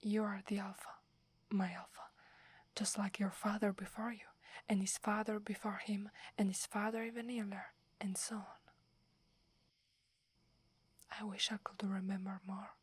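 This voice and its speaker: A woman's voice: medium pitch, flat delivery, moderate pace, dry recording. A woman with an Italian accent voicing a devoted young female werewolf who defers to her alpha, in a whispered ASMR roleplay. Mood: devoted, gentle. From Italy. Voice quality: breathy whisper. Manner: hesitant, reverent, submissive. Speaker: voice actress.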